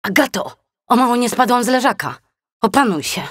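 A woman playing a laid-back, weary female seal in an audiobook, deadpan and exasperated. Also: fairly high pitch, fairly quick, no echo, studio quality. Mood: annoyed. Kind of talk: audiobook character acting.

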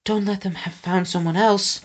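A man's voice: high voice, steady tone, normal speed, pitched above the performer's own voice. Man voicing a frightened, fretful young boy. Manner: muttering, fretful thoughts.

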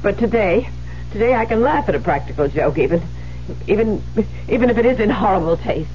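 An actress playing a distraught, guilt-haunted widowed writer, stammering and pleading in 1970s radio drama style. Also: female voice, lively intonation, fairly quick, lightly acted. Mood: defensive, rattled. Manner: stammering, pleading, highly emotional. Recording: muffled, noisy vintage radio broadcast.

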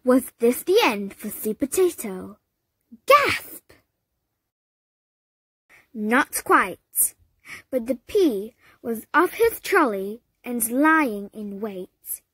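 A woman reading a children's picture book aloud, slow and expressive, leaping up high for the dramatic moments. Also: husky, dry recording. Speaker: storybook reader. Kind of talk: children's read-aloud narration.

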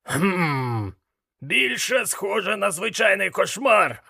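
This is a sardonic demon mentor, pitched high and extremely gravelly. He lectures emphatically with a piercingly bright, forceful edge, as in a fantasy audiobook drama. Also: sing-song, takes it slowly, heavily acted. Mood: dismissive, scoffing. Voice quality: gravelly, rasping. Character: gruff, scoffing demon mentor, brisk and worldly-wise.

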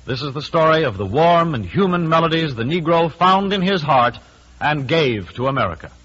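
A man's voice: on the high side, lively intonation, normal speed, loud music behind the voice. A man giving a declamatory, dramatic narration in 1940s radio style on an old recording. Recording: dull old radio recording, long reverb.

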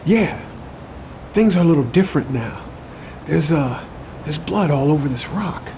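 An actor playing an uneasy, stubborn former pit worker in a post-apocalyptic audio drama, folksy and informal. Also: male voice, a little high, animated, a little slow, a little mellow, slight background noise. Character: uneasy but stubborn male former slag-pit worker, plain-spoken.

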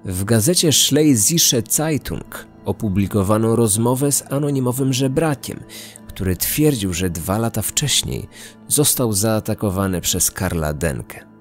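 A man reading scripted true-crime documentary narration in a measured, serious way. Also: lowish voice.

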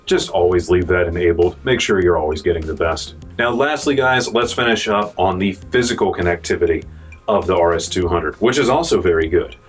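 A young American man presenting a product review in an informal, salesy way, addressing viewers as guys. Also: low-pitched. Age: young adult. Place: indoor showroom studio, dark backdrop.

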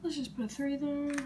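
A woman chatting casually as a vlog host, with slangy, muttered asides. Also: high voice. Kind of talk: casual challenge vlog chat. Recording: very noisy home video sound.

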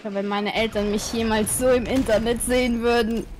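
High-pitched woman chatting over gameplay. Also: fairly even intonation, a little fast, darkish tone, a little hiss or hum. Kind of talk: casual group voice chat over gameplay.

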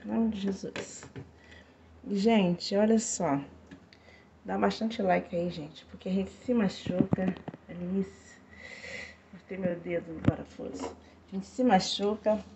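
A woman's voice: mid-range voice, steady tone, takes it slowly, vocal fry, long pauses, background noise. A chatty woman in her fifties films herself on a phone in a small tiled kitchen. She talks straight to her viewers in a friendly, repetitive way and calls them "gente" again and again. The sound is a bit dull and lo-fi. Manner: chatty, repeats "gente" often, pleading for likes. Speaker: home DIY vlogger. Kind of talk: casual chatty vlog, talking to viewers. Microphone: phone camera held close.

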